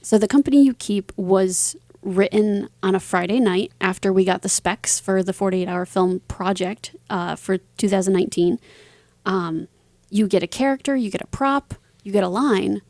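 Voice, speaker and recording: A woman's voice: mid-range voice, moderate pace, darkish tone, no room sound, clean studio sound. A woman talking casually as an interview guest, explaining things thoughtfully in long linked phrases. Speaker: interview guest, singer, author and voice actor.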